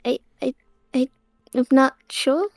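A woman's voice: sits high, slow pace, gravelly, no room sound, studio quality, exaggerated voice. A woman voicing a timid, eager eight-year-old boy in a Minecraft roleplay, meek and hesitant. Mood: unsure, meek.

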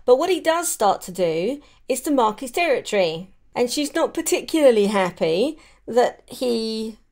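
A woman's voice: fairly high pitch, very animated, normal speed, a little mellow. A chatty woman, hosting a casual, conversational discussion of books. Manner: chatty, giggly. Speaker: podcast host. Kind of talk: casual book chat.

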